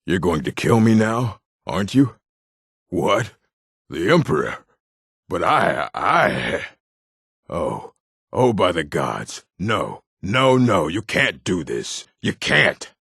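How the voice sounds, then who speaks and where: A man's voice: sits low, very animated, slow, creaky, a bit bright, slightly rough, no echo, studio quality, heavily acted. An actor playing a timid, polite orc in a video game, hesitant and flustered as he pleads. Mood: frightened, pleading. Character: timid, pleading orc gentleman, easily frightened. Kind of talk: video game character acting.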